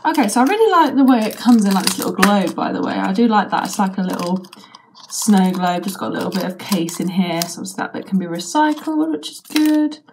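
A young woman with a British accent and a croaky voice from a sore throat, chatting casually while unboxing a toy, with lots of ums and likes. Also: mid-range voice, very animated, brisk, noisy background. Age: young adult. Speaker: toy reviewer. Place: bedroom with toy shelves, indoors.

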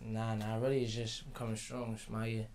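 A teenage man from the Bronx, a rapper guest answering in a laid-back, slangy, halting way in a radio studio. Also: lowish voice, flat delivery, a crowd in the background. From the Bronx, New York. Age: teens. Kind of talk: casual interview. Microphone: studio mic on stand.

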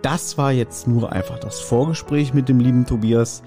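A man's voice: medium pitch, steady tone, normal speed, dark tone, soft background music. A man speaking as a podcast host, making a conversational announcement to listeners.

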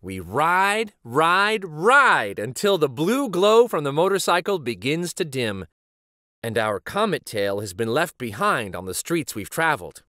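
Animated man reading a children's storybook. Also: on the high side, measured pace, dry recording, clean studio sound. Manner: animated, dramatic. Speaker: children's storybook reader and show host. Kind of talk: expressive picture-book read-aloud.

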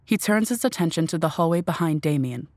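A woman's voice: sits low, animated, a little fast, darkish tone, slight background noise. A woman reading scripted narration for an audio drama.

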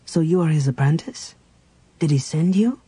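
Earnest half-elf warrior voiced by a man, plain and direct, husky dark tone. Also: fairly high pitch, lively intonation, normal speed, pitched above the performer's own voice. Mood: puzzled.

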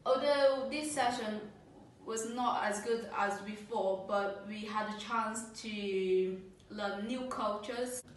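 A young woman presents a class project carefully and a little haltingly. She is recorded from a distance, with faint noise. The classroom echoes strongly. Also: mid-range voice. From Brazil. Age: young adult. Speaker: student presenter. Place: classroom with a large screen. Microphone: far camera. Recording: echoey room, camera far off.

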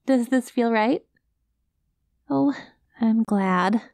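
Woman acting a high-voiced, earnest alien girl. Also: very slow, gravelly, darkish tone, no echo, studio quality, exaggerated voice. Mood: gentle, reassuring. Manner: naive, literal, hesitant, reassuring. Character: cute, earnest, gentle alien girl rescuing a human. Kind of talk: ASMR roleplay acting.

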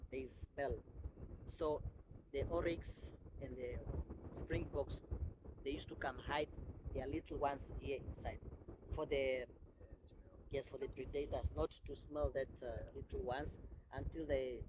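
Clear background noise surrounds a man with a mid-pitched voice. He explains things to tourists as a guide, haltingly and informally, often repeating words. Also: fairly even intonation. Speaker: safari tour guide. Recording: noisy outdoor location sound.

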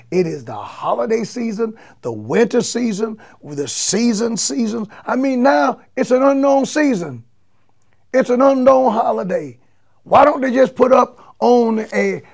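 An older male bishop speaks in a high, gravelly voice, raspy and a little under the weather. He preaches emphatically from his desk, building to forceful peaks. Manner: emphatic, animated hand gestures. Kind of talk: invitation to a church service, preaching. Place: home office study with bookshelves. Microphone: lapel mic, seated at desk.

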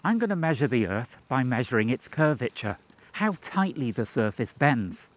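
A man's voice: medium pitch, sing-song, normal speed. A man in his forties presenting an explanatory vlog in a conversational, methodical way. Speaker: video presenter. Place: outdoors and inside a moving car. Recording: clear ambient outdoor and car noise.

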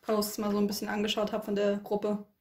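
A woman's voice: medium pitch, monotone, brisk, darkish tone. A woman co-hosting an unboxing, chatting casually and giving product background from inside a room. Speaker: unboxing co-host. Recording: echoey room.

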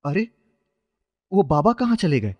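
A man's voice: a little high, very animated, a little slow, long reverb tail, lo-fi sound. A man voicing a poor, kind-hearted young shoe-shine boy, earnest and pleading, in a children's moral story. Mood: wistful.

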